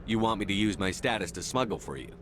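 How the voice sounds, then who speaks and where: An actor playing a terse, commanding space soldier in a video game, clipped and direct. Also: male voice, lowish voice, animated, fast, heavy background noise, close to an everyday voice. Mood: firm. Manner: clipped, no-nonsense.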